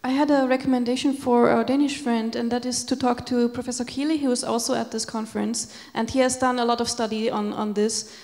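Flat, gravelly woman in a reverberant hall. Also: on the high side. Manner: measured, many filler pauses. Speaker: audience member commenting. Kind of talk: conference Q&A. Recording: echoey lecture hall.

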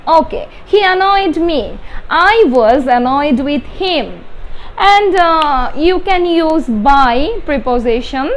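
A young adult Bengali-speaking woman with a Bangladeshi accent, explaining grammar step by step as a teacher, halting and repeating key words with emphasis. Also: sits high. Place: small indoor room with whiteboard. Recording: steady background room noise.

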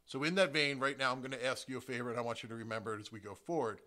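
A middle-aged American man from New Jersey hosting a podcast, giving a prepared solo introduction with emphatic, deliberate phrasing. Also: mid-range voice, steady tone, sharp tone. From New Jersey, United States. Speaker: podcast host, real estate agent.

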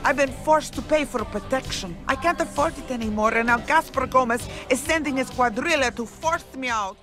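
A man's voice: very high voice, normal speed, music in the background. A man voicing a desperate, anxious nightclub owner in a crime game, pleading as he talks. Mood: anxious complaint. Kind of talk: video game cutscene acting.

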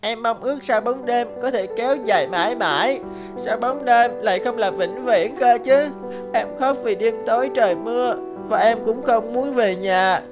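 A woman voicing a lonely, wounded little child in an animated fairy tale, plaintive and tearful. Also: a little high, moderate pace, music in the background, natural voice. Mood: wistful, tearful.

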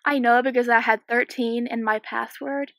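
A sixteen-year-old girl with a slightly croaky voice, chatting and giggling casually while commentating a game she is playing at home. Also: fairly high pitch, steady tone, normal speed, fairly bright tone, dry recording. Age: 16, teenager. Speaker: gaming YouTuber. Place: small home room.

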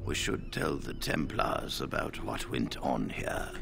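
An actor voicing a weary old mage leader in a fantasy game, slow and formal, with a gravelly, breathy voice. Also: male voice, extremely low pitch, background noise, exaggerated voice. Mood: grave, grateful. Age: older.

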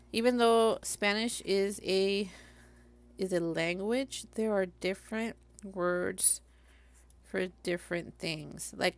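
A chatty Puerto Rican woman livestreaming from her craft table, talking in a Puerto Rican accent and a mid-range voice with a gravelly fry. She rambles casually as she works and laughs at her own tangents. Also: mid-range voice, no echo. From Puerto Rico. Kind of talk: casual chat while crafting. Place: craft table at home, overhead camera on her hands.

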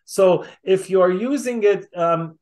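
A middle-aged man hosting a casual interview on a video call, talking haltingly and repeating words as he goes. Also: high-pitched, darkish tone. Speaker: interviewer, host.

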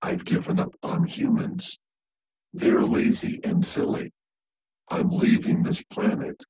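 Hissing evil machine voice. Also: male voice, slow pace, dark tone. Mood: cold scorn. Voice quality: breathy, hissing, toneless. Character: evil, scornful machine voice tired of humans.

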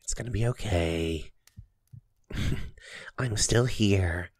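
Man acting as a tender boyfriend, low-pitched, husky, playful teasing, intimate ASMR roleplay. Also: drawn-out words, exaggerated voice. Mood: soothing, tender. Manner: soft, affectionate, playfully teasing, with chuckles and kisses. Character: doting, teasing young boyfriend soothing a sleepy partner.

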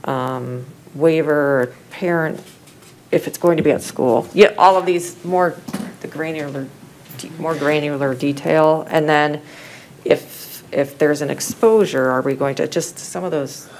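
A woman's voice: deep voice, unhurried, a little mellow, slight background noise, poor audio. A woman on a school board proposing next steps, listing items one by one. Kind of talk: public meeting discussion.

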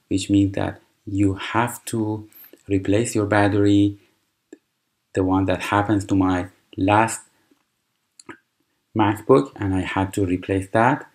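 Brief: native Persian-speaking man, very low and unhurried, tutorial presenter with frequent "uh"s. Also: steady tone. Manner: unhurried, explanatory, with frequent fillers and restarts. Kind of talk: screen-recorded software tutorial.

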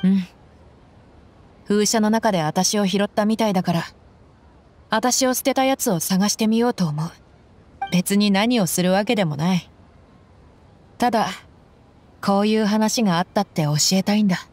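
A woman plays a young desert fighter in an anime-style game. She is tough and plain-spoken, with tomboyish casual phrasing and a good heart, at a middling female pitch. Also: normal speed, long pauses, a little mellow, lightly acted. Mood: reflective, resolved. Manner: blunt, tomboyish casual speech.

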